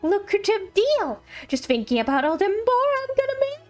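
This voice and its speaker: Scheming game merchant, voiced by a woman streamer, high animated pitch, showy salesy delivery, music underneath. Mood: eager, salesy. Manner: salesy, wheedling, drawn-out key words. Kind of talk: reading game letters aloud in character.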